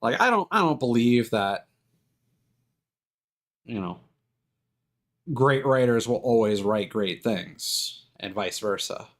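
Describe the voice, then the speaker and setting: A man live streaming with casual, opinionated commentary, rambling along in a halting, stop-and-start way. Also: medium pitch, lively intonation, a bit bright.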